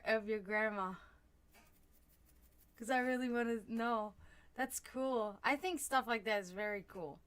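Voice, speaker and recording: A woman born and raised in Germany, with German as her first language, chatting warmly as she reads tarot cards for viewers on a live stream. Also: on the high side. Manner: chatty, animated, laughs often, talks to chat.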